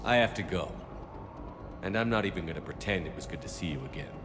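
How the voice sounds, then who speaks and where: A deep-voiced blunt commander. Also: male voice, expressive, fast, music in the background. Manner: terse, direct. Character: blunt male starship commander. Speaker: military commander. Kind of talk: video game voice acting.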